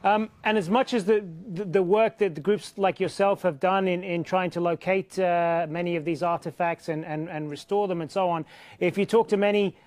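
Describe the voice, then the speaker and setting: A man's voice: high-pitched, steady tone, normal speed, a little mellow, no room sound. A man presenting a televised panel discussion, posing long conversational questions with frequent restarts. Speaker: news show presenter.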